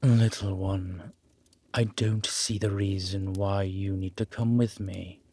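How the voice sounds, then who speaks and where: A man voicing a grave, protective, centuries-old immortal in a roleplay audio drama, speaking in a measured, formal and solemn manner. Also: sits low, fairly even intonation, a little mellow, voice pushed down, full character voice. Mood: solemn, guarded.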